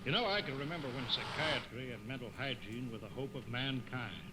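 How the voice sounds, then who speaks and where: A man's voice: mid-range voice, animated, a little fast, natural voice. An actor in an old-time radio drama playing a weary, cynical veteran crewman. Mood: sardonic. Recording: old radio recording, heavy noise.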